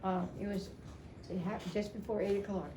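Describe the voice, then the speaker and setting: An older woman telling a personal story to a gathering in a chatty, halting way, repeating phrases as she goes. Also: mid-range voice, steady tone, crowd noise. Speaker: storyteller at a family memorial. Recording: echoey room, clear background noise.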